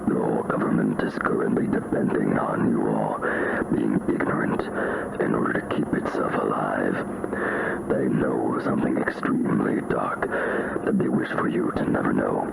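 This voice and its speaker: A man voicing a menacing anonymous spokesman in a scripted broadcast intrusion, speaking slowly and ominously with a distorted voice. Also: steady tone, very noisy, heavily acted. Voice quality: very deep, gravelly, distorted. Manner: slow, ominous, deliberate. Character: menacing anonymous hacker group spokesman revealing dark secrets.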